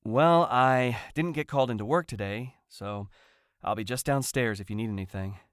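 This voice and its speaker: A concerned middle-aged father , played by a man, talks tenderly and reassuringly. His mid-pitched voice is recorded very dry, as in an audio drama. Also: normal speed, clear, resonant tone, dry recording, close to an everyday voice. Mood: gentle.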